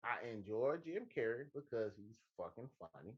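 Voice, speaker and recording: A man's voice: fairly low pitch, expressive, normal speed, muffled recording, crowd noise. A man co-hosting a show, bantering casually with emphatic, teasing delivery and repeated phrases. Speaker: podcast co-host.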